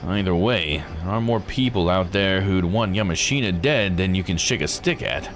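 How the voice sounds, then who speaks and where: A gruff police detective from a visual novel, acted out by a man, speaks low and casually. He grumbles like someone tired of strange cases, over clearly audible music. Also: normal speed, fairly bright tone. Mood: uneasy. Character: gruff, world-weary male police detective. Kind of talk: voicing visual novel dialogue.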